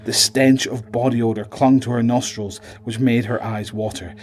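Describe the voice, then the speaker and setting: A man's voice: mid-range voice, steady tone, normal speed. A man giving dramatic, scripted narration as the storyteller of a horror audio drama. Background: dramatic music.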